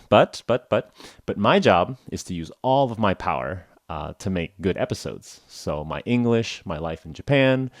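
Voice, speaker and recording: A man's voice: fairly low pitch, no echo, studio quality. A young American man hosting a podcast for English learners, talking casually with lots of "uh" and "like" and self-deprecating jokes. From United States (Oklahoma). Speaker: podcast host.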